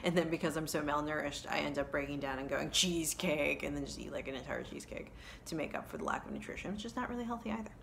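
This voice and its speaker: Low-voiced chatty woman vlogging at home. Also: sing-song, quick pace, gravelly, fairly bright tone, a little hiss or hum. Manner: rambling, chatty, self-correcting with many ums. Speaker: cross-stitch vlogger. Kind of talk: casual chat to camera. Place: living room with kids' toys, indoors. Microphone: phone camera at arm's length.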